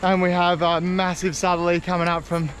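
A young man, vlogging a fishing trip from an island shore and shouting excitedly when fish bite. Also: sits high, fairly quick, slight background noise. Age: young adult. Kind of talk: casual outdoor fishing vlog. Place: outdoors on rocky island shore by the sea. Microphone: action camera.

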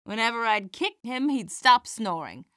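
A woman plays a feisty old granny who does stand-up in an audio drama. Her voice is bright and fairly high, with wry, theatrical punchlines. The sound is very dry. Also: sing-song, moderate pace, no room sound. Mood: wry, playful. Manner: wry, theatrical comic delivery. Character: feisty elderly granny stand-up comedienne, warm-hearted and wisecracking.

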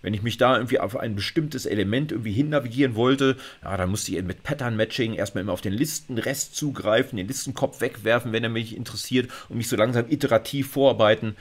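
A man's voice: mid-range voice, quick pace, few pauses. A middle-aged man giving an informal explanatory lecture, talking conversationally with frequent little check-in tags. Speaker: university lecturer.

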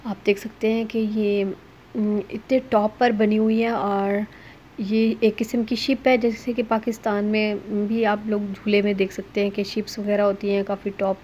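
Mom doing a casual vlog voiceover, average pitch, pauses a lot, noisy background. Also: female voice, flat delivery, darkish tone, highs cut off. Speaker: family vlogger and mother. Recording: noisy ambient background behind voice.

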